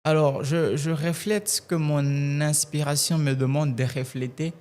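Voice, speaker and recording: A man from Kasai-Oriental with Tshiluba as his first language, a gospel singer answering interview questions in a measured way and often repeating words as he looks for them. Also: a little high, fairly even intonation, a little mellow. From Kasai-Oriental, DR Congo. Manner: measured, repeats words while searching for phrasing.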